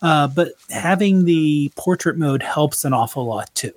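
A man's voice: on the high side, lively intonation, measured pace, no echo. A middle-aged man co-hosting a casual podcast chat, rambling a little and correcting himself as he goes. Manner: rambling, self-correcting, chuckles often. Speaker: podcast co-host.

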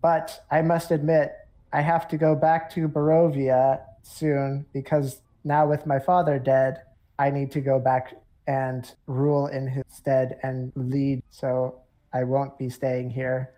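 A woman voicing a dutiful, trusting male noble heir in a tabletop game, formal, halting and earnest. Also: a bass voice, level tone, mellow, voice pushed down. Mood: solemn. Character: dutiful, trusting nobleman heir, fussy about his name.

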